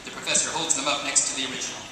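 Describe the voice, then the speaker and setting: A man's voice: medium pitch, little pitch movement, very fast. A man reading stage directions as scripted narration at a table read. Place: large convention hall, audience present. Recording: distant, echoey hall with loud noise.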